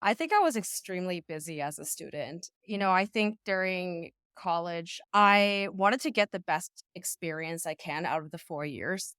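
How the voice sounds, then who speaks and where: Low-voiced reflective woman founder. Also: a bit bright, no room sound, clean studio sound. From United States. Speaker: startup founder and CEO, guest. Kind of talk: podcast interview.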